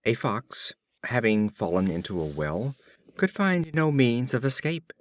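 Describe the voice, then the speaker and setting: A man reading fables as an audiobook, expressive, deliberate and theatrical in his storytelling. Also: medium pitch, no room sound. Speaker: audiobook reader.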